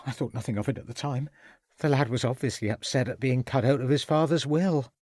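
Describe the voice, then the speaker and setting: A man's voice: mid-range voice, fairly quick, darkish tone, no echo, studio quality, natural voice. A man putting on a fretful tearful female cook. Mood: distressed. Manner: anxious, quavering, stammering pleas.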